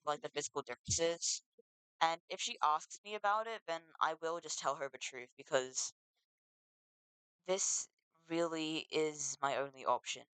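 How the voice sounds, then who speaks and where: A teenager, talking in a casual confessional vlog, halting and full of restarts, earnest and emotional. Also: medium pitch, sharp tone, clean tone, no echo, low-quality recording. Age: teens. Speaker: vlogger.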